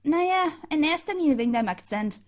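A woman voicing a brash, excitable teenage boy from Australia who talks loudly in slang. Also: high-pitched, fast, pitched above the performer's own voice. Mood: excited.

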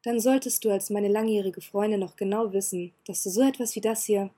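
A cold, sulky boy earl who clips his words short and keeps a sarcastic bite, read by a woman. The voice stays mellow and a little husky, close to the reader's own. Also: mid-range voice, brisk, close to an everyday voice.

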